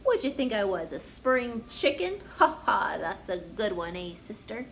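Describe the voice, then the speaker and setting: A woman voicing a big, hearty, over-familiar male pig who talks in a loud, brash, jokey way. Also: medium pitch, big pitch swings, unhurried, creaky, slight background noise, full character voice. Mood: jovial, boastful.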